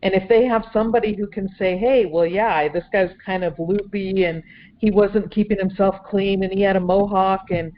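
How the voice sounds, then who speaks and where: Lady chatting on a conference call, medium pitch, kinda dull phone audio. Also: fairly even intonation, normal speed, a little hiss or hum. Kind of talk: casual group discussion.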